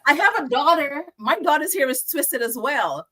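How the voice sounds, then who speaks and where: A middle-aged woman from Guyana with a Guyanese accent, a guest telling lively stories in casual interview chat and laughing easily. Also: sits high, moderate pace.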